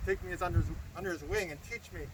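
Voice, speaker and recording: A man's voice: sits high, very fast, noisy background. A man from Estonia telling a grateful, earnest personal story in an outdoor speech. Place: outdoors by a river.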